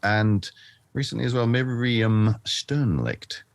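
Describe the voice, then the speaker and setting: A man's voice: on the low side, lively intonation, slow, vocal fry, dry recording. A man hosting a casual interview, asking questions in an easygoing, friendly way. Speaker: interview host, mixing engineer.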